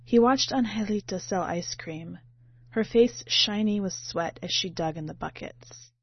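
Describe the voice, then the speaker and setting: A woman's voice: medium pitch, unhurried, husky. A woman narrating a literary short story as a podcast reader. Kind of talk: literary audiobook-style narration. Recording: muffled, roomy home recording.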